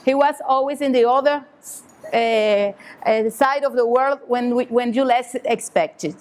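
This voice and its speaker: A woman's voice: a little high, a little slow, a little mellow. A woman from Cuba, speaking at a seminar in hesitant English full of fillers and restarts. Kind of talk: halting public remarks. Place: conference hall.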